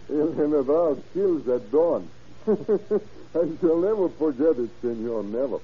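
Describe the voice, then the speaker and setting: Husky, brooding henchman. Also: fairly high pitch, lively intonation, takes it slowly, heavily acted. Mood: brooding, deferential. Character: deferential Mexican henchman, a bitter ex-convict. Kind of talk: 1930s radio crime drama acting. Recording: dull, muffled old radio recording.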